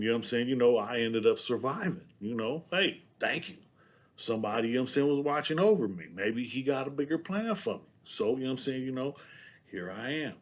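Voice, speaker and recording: A middle-aged man with a Southern US accent speaks straight to his viewers in a casual, meandering monologue. He circles back on himself, tacking a steady stream of filler tags onto his sentences. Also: mid-range voice. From San Diego, California. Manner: rambling, loaded with "you know what I'm saying" tags. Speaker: vlogger.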